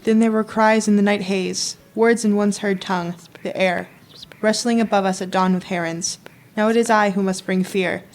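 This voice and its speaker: A woman's voice: mid-range voice, steady tone, normal speed, few pauses, natural voice. Mournful young woman as undead prophetess. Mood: mournful, foreboding. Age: young adult. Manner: mournful, incantatory, solemn. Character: sorrowful risen dead woman, a reluctant prophetess. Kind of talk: poetic prophecy in a radio play.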